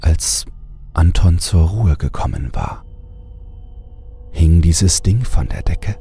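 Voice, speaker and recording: Male creepypasta narrator: extremely low, dark voice, suspenseful scripted read over music. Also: lively intonation. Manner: measured, suspenseful. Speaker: horror story narrator.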